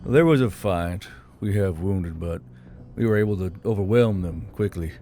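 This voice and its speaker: A man's voice: sits low, moderate pace, pitched below the performer's own voice, close to an everyday voice. A man voicing an exhausted, terse and sardonic military commander in a fantasy audiobook. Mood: weary. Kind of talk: audiobook character voice. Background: soft background music.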